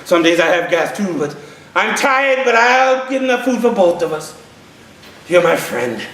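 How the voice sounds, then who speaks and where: A man's voice: high-pitched, very animated, normal speed, fairly bright tone, reverberant, pitched below the performer's own voice. A storyteller man putting on the voice of a hardworking, tired but kind hen. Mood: kindly.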